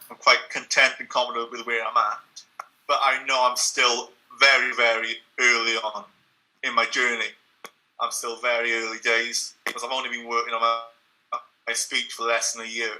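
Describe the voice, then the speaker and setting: A young Englishman talks through his experience in an earnest, reflective interview voice. His tone is very bright and sharp, pitched right in the middle for a man. Now and then he doubles a word as he restarts. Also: moderate pace, low-quality recording. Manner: steady, earnest, with occasional repeated words and restarts. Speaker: interview guest.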